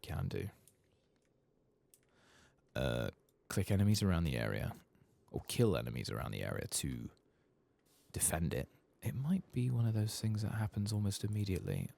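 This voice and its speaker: A man with an extremely low, creaky voice gives soft-spoken ASMR game commentary. He mutters casually and swears freely, with his pitch moving around in a lively way. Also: normal speed, pauses often. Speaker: streamer playing a role-playing video game.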